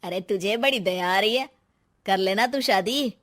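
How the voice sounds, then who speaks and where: A woman voicing a catty, taunting young college student in an animated moral story. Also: medium pitch, a little slow, natural voice.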